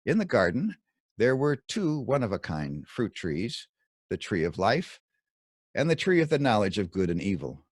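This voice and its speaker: A man reading his own scripted Bible commentary as narration, in measured, deliberate short phrases. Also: on the low side, very animated, breathy edge, no echo, clean studio sound.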